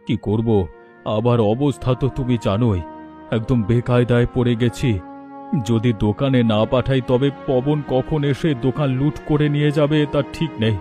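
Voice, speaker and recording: Man acting a mellow, plaintive widowed father. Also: mid-range voice, steady tone, moderate pace, close to an everyday voice. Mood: worried, weary. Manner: plaintive, lamenting. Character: anxious, self-pitying widowed father of grown daughter. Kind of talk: melodramatic cartoon dialogue. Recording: music behind the voice.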